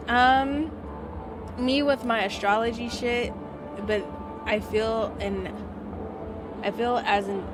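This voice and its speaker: A woman live streamer chatting casually and slangily to her viewers. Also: medium pitch.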